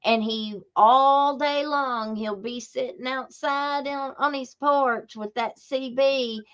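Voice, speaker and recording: A woman's voice: high voice, steady tone, takes it slowly, a bit bright, clear, resonant tone, lo-fi sound. A woman with an Appalachian accent telling stories casually on a podcast, folksy and emphatic, often repeating herself for effect. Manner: folksy, emphatic, repetitive storytelling. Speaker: podcast host. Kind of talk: casual true-crime podcast talk.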